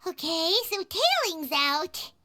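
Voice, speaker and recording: A woman voicing a tiny, childlike fairy companion in a video game, with a squeaky, chirpy, curious manner. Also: big pitch swings, takes it slowly, pushed and bright, heavily acted. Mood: puzzled, curious. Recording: music playing behind the voice.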